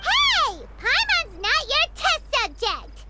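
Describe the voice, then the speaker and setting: Squeaky, childlike little fairy girl. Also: wildly swooping pitch, slow, sharp tone, full character voice. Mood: indignant. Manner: refers to herself in third person, exclamatory. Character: small excitable flying fairy companion, childlike girl. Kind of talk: animated game cutscene acting.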